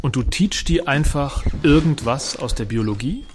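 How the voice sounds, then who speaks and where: A man's voice: mid-range voice, expressive, moderate pace, slight background noise, natural voice. A man playing an easygoing, curious songwriter in an audio drama. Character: easygoing, curious songwriter, newcomer to farm commune. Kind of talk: German audio drama dialogue.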